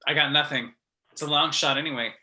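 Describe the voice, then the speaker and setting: Man playing a sharp-voiced, wry teen boy. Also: on the high side, fairly even intonation, fast. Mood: skeptical. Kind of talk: script table read.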